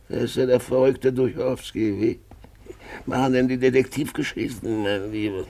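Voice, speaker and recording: An actor playing a boozy, jovial, lecherous gentleman in a 1950s German radio drama, gravelly and theatrical, going from grumbling mumbles to loud wheedling cries. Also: mid-range voice, a little slow, slight background noise. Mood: boisterous. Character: boozy, roguish Russian gentleman found alive, jovial lecher.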